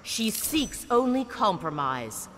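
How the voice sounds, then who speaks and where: A woman's voice: mid-range voice, very animated, slow pace. An actress playing a loyal, composed warrior woman in a video game. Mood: firm. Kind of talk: video game character dialogue. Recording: very noisy game audio.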